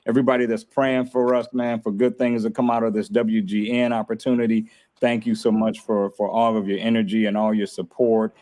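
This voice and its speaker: A man's voice: lowish voice, flat delivery, fairly quick, darkish tone. A man hosting a casual podcast, talking in a laid-back, grateful way and laughing often. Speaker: podcast host. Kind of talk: casual chat.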